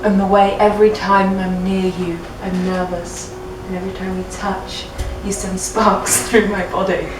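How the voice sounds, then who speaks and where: A woman's voice: medium pitch, flat delivery, normal speed, few pauses. A tipsy woman reading a story aloud in a theatrical, playfully hammy, giggly way. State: drunk. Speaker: reader of fan fiction for a comedy web show. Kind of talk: jokey dramatic story reading. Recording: echoey room, distant mic, music underneath.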